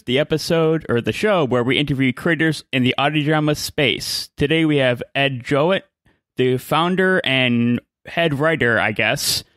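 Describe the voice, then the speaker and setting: A man's voice: mid-range voice, fairly bright tone, no echo. A man hosting a podcast interview in a conversational style.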